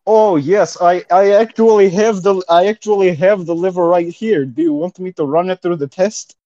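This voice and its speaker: A man co-hosting a comedy podcast, delivering rambling mock-solemn speeches in a deadpan way. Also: high voice, moderate pace, no echo, lo-fi sound. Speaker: podcast co-host. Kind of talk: improvised comedy banter.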